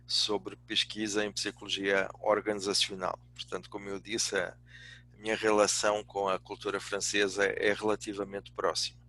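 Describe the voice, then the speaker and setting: An older man with a European Portuguese accent giving a semi-formal prepared talk, courteous, often halting and repeating words. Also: on the low side, fairly bright tone. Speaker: guest speaker, business executive and academic.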